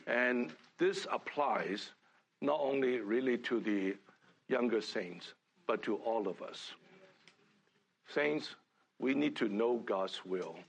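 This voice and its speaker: Gravelly, husky older man preaching slowly. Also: mid-range voice, expressive. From Hong Kong. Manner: halting, many fillers and restarts. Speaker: church speaker. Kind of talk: sermon.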